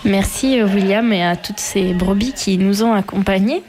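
A woman's voice: mid-range voice, normal speed, a little mellow, a little hiss or hum. A woman hosting a radio interview, friendly and full of fillers. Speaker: radio host.

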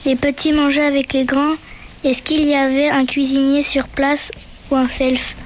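A woman's voice: sits high, flat delivery, normal speed, slight background noise, rough recording. A female pupil reading out prepared interview questions carefully. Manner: careful, read-aloud questions.

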